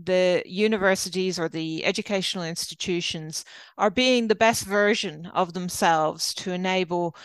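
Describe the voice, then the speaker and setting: A woman's voice: fairly low pitch, normal speed, smooth, no room sound. A middle-aged woman with an Irish accent, a professor reflecting on her work as an interview guest. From Ireland. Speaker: guest, university professor and researcher.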